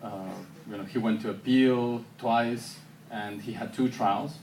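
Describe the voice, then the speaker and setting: A man's voice: mid-range voice, steady tone, a little slow, darkish tone. Man answering questions in an echoey hall. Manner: thoughtful, many ums and restarts. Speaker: filmmaker answering audience questions. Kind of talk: public Q&A answers. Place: university auditorium. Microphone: handheld camera from the audience. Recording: echoey hall, far handheld camera, noisy.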